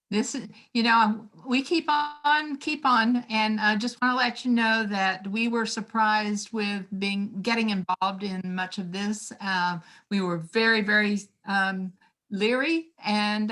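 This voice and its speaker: Halting woman thanking hosts on a call. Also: mid-range voice, fairly bright tone. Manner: halting, searching for words. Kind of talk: casual chat.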